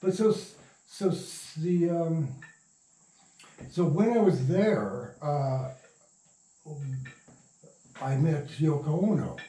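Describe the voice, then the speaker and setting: A man's voice: a little high, expressive, takes it slowly, pauses often, dark tone. Older man, echoey, reminiscing in circles. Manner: rambling, circling back mid-sentence. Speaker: interview guest. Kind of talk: casual anecdotal storytelling. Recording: echoey room, distant mic.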